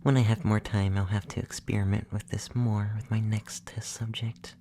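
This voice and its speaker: Casting: performer as gentle, effeminate young male alien abductor, ASMR roleplay; mellow half-whisper, soothing matter-of-fact delivery, some background noise. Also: medium pitch, level tone, close to an everyday voice. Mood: calm, curious. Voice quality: soft, breathy, often whispered. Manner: slow, soothing, softly spoken, matter-of-fact.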